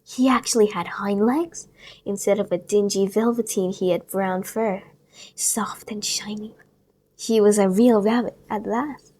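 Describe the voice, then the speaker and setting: A woman reads a children's storybook aloud, gentle and expressive. Her voice sits at an ordinary middle pitch, and now and then she lowers it to a near-whisper to draw the listener in. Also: measured pace. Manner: gentle, expressive read-aloud, sometimes hushed. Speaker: storybook reader.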